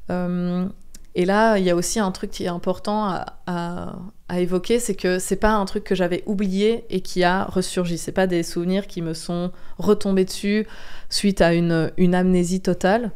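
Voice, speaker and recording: A woman gives a candid interview. Her voice is very clear and smooth, fairly low and soft-edged rather than bright. She explains things earnestly and insistently, with thoughtful pauses. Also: steady tone. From Belgium. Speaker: interview guest, comic book author. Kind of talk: candid podcast interview testimony.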